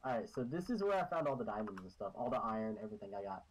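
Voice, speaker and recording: Excitable young man gaming with a friend. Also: lowish voice, rapid-fire, rough recording. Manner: joking, excitable, teasing. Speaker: Minecraft player and channel host. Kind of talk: casual gaming chat. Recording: faint keyboard clicks behind.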